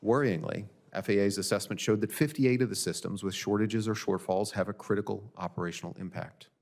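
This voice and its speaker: Low-voiced man giving formal testimony. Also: fairly quick, creaky, darkish tone. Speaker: government audit director testifying as a witness. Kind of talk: formal prepared testimony, read statement. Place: legislative hearing room.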